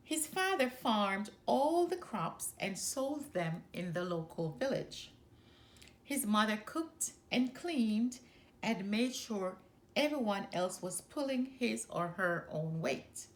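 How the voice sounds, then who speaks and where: Slow, expressive woman storyteller. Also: mid-range voice. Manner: deliberate, expressive phrasing. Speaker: storyteller, channel host. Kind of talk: children's story read-aloud.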